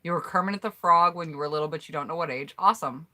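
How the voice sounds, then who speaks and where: A woman's voice: low voice, fast, bright, forceful tone. An American woman chatting casually on a home mic, reading out viewers' answers in an upbeat, rambling way. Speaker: YouTuber hosting a collab tag.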